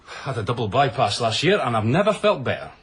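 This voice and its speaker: An actor playing a brash, excitable, crass male TV producer in a radio comedy-drama, with an emphatic, jokey manner. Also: medium pitch, a little fast, breathy edge, full character voice. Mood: boastful, jokey. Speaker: TV show producer.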